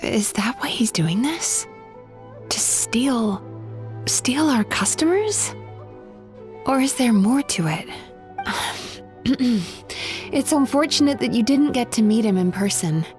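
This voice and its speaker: A woman's voice: on the high side, lively intonation, a little mellow, faint music behind. A woman voicing a thoughtful, analytical young woman psychologist in a video game. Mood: pensive. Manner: measured, pensive.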